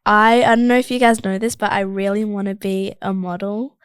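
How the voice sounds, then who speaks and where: A woman's voice: mid-range voice, brisk, smooth, no room sound, studio quality. A teenage girl from Australia with an Australian accent, chatting casually with many "like"s. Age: teens. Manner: relaxed, uses "like" a lot. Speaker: podcast co-host. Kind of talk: casual chat.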